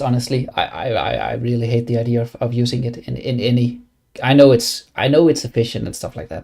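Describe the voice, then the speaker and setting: Young Danish-accented streamer, gravelly voice, loose rambling chat with lots of restarts. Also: male voice, medium pitch, a little mellow. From Denmark. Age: young adult. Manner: rambling, many fillers and restarts. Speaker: game streamer. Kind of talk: casual live stream chat.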